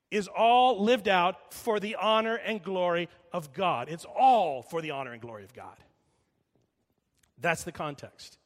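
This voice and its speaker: Middle-aged guy preaching, slightly high voice, slows down to hammer points, long hall echo. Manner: emphatic, slows down and repeats for emphasis, drops quiet when storytelling. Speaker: pastor. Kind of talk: sermon. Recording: long reverb of a large hall.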